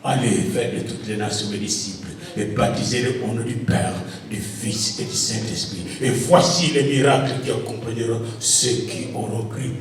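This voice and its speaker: A male pastor preaching a sermon in French that is not his first language, building to shouted refrains. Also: medium pitch, fairly even intonation, normal speed, hardly any pauses. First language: an African language. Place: church. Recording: echoey hall, voice from far off.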